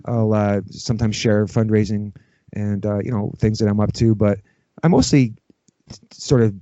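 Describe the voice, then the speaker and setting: Male, middle-aged, podcast guest. Mellow, dark tone, casual rambling delivery, dull-sounding recording. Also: lowish voice, fairly even intonation, normal speed. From Canada, Toronto area. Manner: informal, peppers talk with "you know". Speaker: podcast guest, ritual drummer. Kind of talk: casual, rambling conversational interview. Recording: muffled, roomy, echoey sound.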